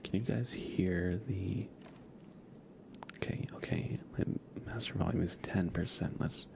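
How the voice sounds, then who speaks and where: A man hosting a casual ASMR livestream, speaking in a soft, hushed, whispery murmur close to the microphone. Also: deep voice, measured pace, vocal fry.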